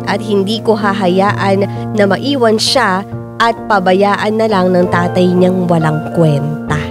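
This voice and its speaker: A woman's voice: mid-range voice, natural voice. An actress playing a resolute young mother who earnestly narrates her own story in a radio drama. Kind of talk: scripted first-person radio drama narration. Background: loud piano music.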